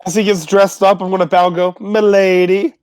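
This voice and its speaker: A man's voice: high voice, little pitch movement, a little fast, a little mellow, smooth, no room sound. A man playing in a tabletop game, casually improvising his character's words and actions.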